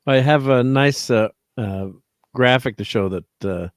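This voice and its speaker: A man co-hosting a casual livestream chat over a video call, easygoing and halting, chuckling along. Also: medium pitch, smooth, no room sound, clean studio sound. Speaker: co-host.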